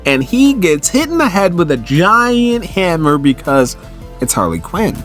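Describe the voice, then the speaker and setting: A man hosting a recap in casual commentary, telling the story in an animated, conversational way. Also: high voice, measured pace, soft background music. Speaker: host of a comic book recap channel.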